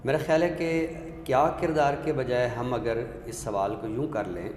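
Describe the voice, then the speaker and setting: A man speaking as a literary scholar, explaining ideas in a measured way and pausing to choose his words. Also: mid-range voice, fairly even intonation, a crowd in the background. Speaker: literary scholar, expert guest. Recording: echoey reverberant room, background noise.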